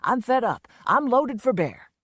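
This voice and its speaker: Woman doing a drunken braggart, loud, slurred, swaggering, swooping pitch, dry close sound. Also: sing-song, unhurried, dry recording, full character voice. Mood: belligerent. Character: drunken blustering man, a boastful folksy entertainer.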